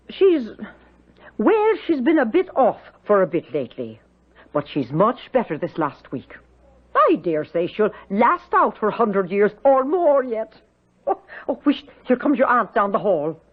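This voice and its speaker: A woman's voice: medium pitch, huge pitch swings, measured pace, breathy edge, full character voice. An actress playing a chatty, motherly Irish housekeeper in a 1970s radio drama, with folksy, lilting, gossipy talk. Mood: teasing, gossipy. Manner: folksy, voluble, lilting storytelling. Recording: dull lo-fi radio recording.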